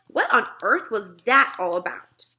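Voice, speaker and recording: A woman's voice: fairly high pitch, sing-song, a little slow, pushed and bright, exaggerated voice. A woman voicing a small, curious, earnest girl in a children's storybook, questioning and pleading persistently. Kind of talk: children's storybook read-aloud.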